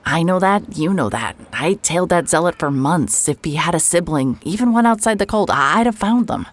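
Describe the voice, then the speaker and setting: A hard-boiled private investigator, played by a woman, with a very low voice. She talks in a dry, sarcastic way and fires off quick retorts. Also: lively intonation, no echo. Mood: wry. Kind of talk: audio drama acting.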